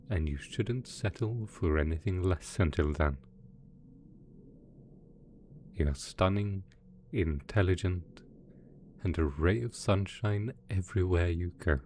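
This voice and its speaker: Guy playing a low voiced mad scientist villain boss, melodramatic n smug, possessive, roleplay asmr, bit of background noise. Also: a little mellow. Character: theatrical possessive male mad scientist supervillain boss, playfully wounded. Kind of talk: roleplay ASMR audio drama.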